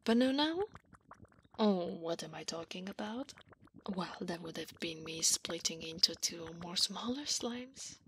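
A woman plays a centuries-old slime wife in an ASMR roleplay, clingy and teasing. She speaks slowly in an intimate half-whisper that often crackles into fry. There is clear noise behind the close-up voice. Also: on the low side, expressive. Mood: affectionate, soothing. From Italy. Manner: soft, breathy half-whisper, intimate, coaxing.

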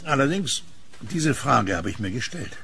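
A creaky male voice over faint noise as an actor plays a modest kiosk keeper in a horror radio play. He is calm and polite, medium in pitch, reserved but curious. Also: normal speed, full character voice. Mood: guarded curiosity. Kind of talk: German horror audio drama acting.

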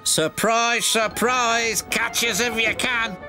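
A man's voice: mid-range voice, fairly even intonation, slow, over music, natural voice. A man voicing silly, mischievous goods trucks in a children's story, teasing in a taunting sing-song. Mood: gleeful, mocking.

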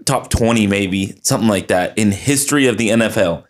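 A man's voice: on the low side, a little fast. A man co-hosting a sports podcast, telling stories with exasperated, swearing outbursts. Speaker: podcast co-host. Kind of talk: casual sports banter.

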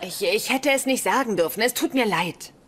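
An actress playing an earnest, quirky young girlfriend in a dubbed sitcom, deadpan and matter-of-fact. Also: fairly high pitch, moderate pace. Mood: earnest, patient. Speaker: sitcom character. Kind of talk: German-dubbed sitcom acting. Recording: sitcom soundtrack with loud noise, laughter.

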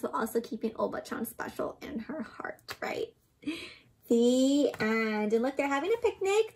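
Young lady reading a picture book to little kids, high voice, kinda breathy, explains stuff gently. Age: young adult. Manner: expressive, asks listeners questions, gentle teacherly explaining. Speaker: children's storybook reader and host. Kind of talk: interactive read-aloud for young children. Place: small indoor room with books and plants.

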